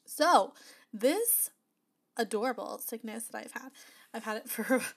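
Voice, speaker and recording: A woman's voice: a little high, animated, measured pace, vocal fry, breathy edge. A woman hosting a casual solo podcast, chatty and upbeat, her voice still slightly nasal and not fully back after an illness. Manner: chatty, upbeat, frequent laughs and fillers. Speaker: podcast host.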